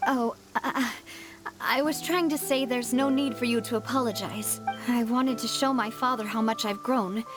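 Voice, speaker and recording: A woman's voice: fairly high pitch, steady tone, normal speed, lightly acted. A woman playing a poised young female leader in a video game, polite, frank and self-assured. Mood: frank, gentle. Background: game music.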